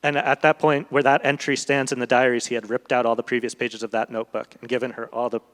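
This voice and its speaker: A man speaking as a guest translator in a literary discussion, explaining thoughtfully in long, halting sentences. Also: medium pitch, level tone, fairly bright tone. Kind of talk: literary discussion before an audience. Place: large event hall, live public talk. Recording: echoey hall with long reverb.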